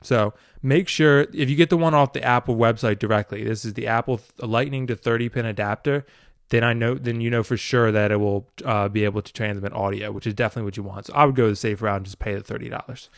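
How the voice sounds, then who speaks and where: Male hobbyist tutorial host, informal and rambling, smooth clear tone, mid pitch, close dry sound. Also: fairly even intonation, fast, no echo. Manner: informal, rambling, talking through a demonstration. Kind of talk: casual unscripted product walkthrough.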